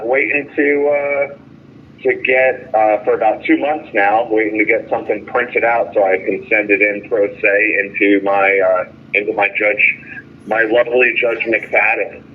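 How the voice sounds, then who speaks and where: A man calling in by phone with a halting, aggrieved, sardonic account in casual speech, as a jailed caller giving an update. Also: lowish voice. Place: jail phone call. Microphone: telephone. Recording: tinny noisy phone line.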